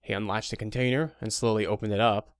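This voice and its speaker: A man's voice: lowish voice, steady tone, fast, clean tone, dry recording, clean studio sound. A man reading scripted narration for an audio drama. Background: guitar music.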